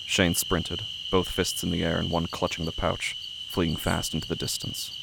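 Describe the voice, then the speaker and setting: Deep male narrator, gravelly, even delivery, solemn literary horror storytelling, loud cricket bed. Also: moderate pace, heavy background noise. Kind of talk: scripted horror audio drama narration. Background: night crickets and insects.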